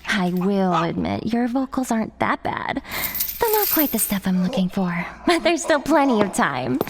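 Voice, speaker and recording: A woman performs a cruel, mocking she-monster for a horror audio drama. Her high voice swoops theatrically and lingers over taunts at a slow pace, gloating over her prey. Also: slight background noise. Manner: mocking, foul-mouthed, gloating, theatrically drawn out. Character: sadistic, taunting female monster running a deadly music quiz.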